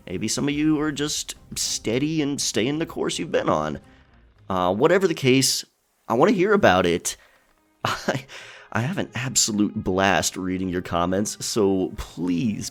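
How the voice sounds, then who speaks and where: A man plays a friendly male pirate captain, talking in a soft, unscripted ASMR style. His voice sits fairly low and moves with very lively intonation. He is encouraging and chatty, a little hesitant as he finds his words, over faint music. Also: heavily acted. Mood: warm, reassuring. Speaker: ASMR storyteller and streamer.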